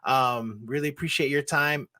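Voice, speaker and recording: Man, mid-pitched host, loose and chatty, lots of ums. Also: moderate pace, bright, forceful tone. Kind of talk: casual online show hosting.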